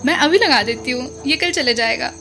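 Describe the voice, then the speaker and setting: A woman's voice: sits high, moderate pace, bright, forceful tone. A woman playing a bubbly, affectionate younger sister in an audio drama, coaxing and mixing English into her speech. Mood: affectionate, grateful. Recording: music bed behind the voice.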